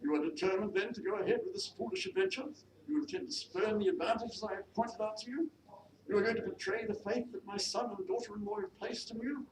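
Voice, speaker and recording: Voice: mid-range voice, lively intonation, fast, voice pushed up, natural voice. A reader voicing a haughty, peevish widow of the minor gentry who scolds in formal, bossy speech, as in a period novel. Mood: indignant, scolding. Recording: noisy echoey room, distant mic.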